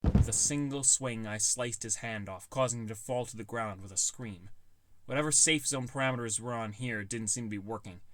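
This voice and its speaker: A man's voice: medium pitch, moderate pace, a bit bright, slight background noise. A man reading scripted first-person fantasy narration as an audiobook narrator.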